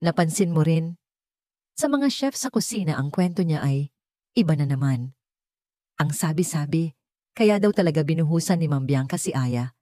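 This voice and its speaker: A woman reading a scripted romantic drama story as narrator, with measured, melodramatic delivery. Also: deep voice, lively intonation, mellow, dry recording, clean studio sound.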